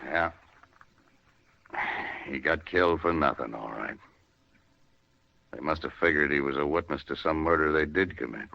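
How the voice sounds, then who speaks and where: A man's voice: rumbling low, moderate pace, gravelly, long pauses. An American man playing a bitter, weary frontier gunman in a 1950s radio western. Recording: muffled vintage radio recording.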